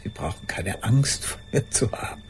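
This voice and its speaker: An actress playing an old woman in a radio play monologue, courteous and haunted, recalling the past in a halting, breathy, raspy voice. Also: a little mellow, a little hiss or hum, natural voice. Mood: courteous, solicitous. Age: older. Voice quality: husky, raspy, breathy, often dropping to a whisper. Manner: deliberate, reflective, halting.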